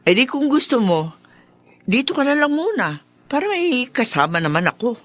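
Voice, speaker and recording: A lonely aunt in a radio drama. Her pitch moves a great deal as she talks. The sound is dry, with no room around it. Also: very animated, normal speed, dry recording. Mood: inviting, wistful. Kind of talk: Filipino radio drama acting.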